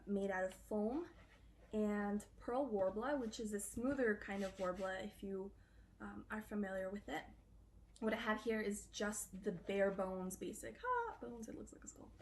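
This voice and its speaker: A woman's voice: medium pitch, slight background noise. A woman cosplayer chatting casually in an interview, loose and full of fillers.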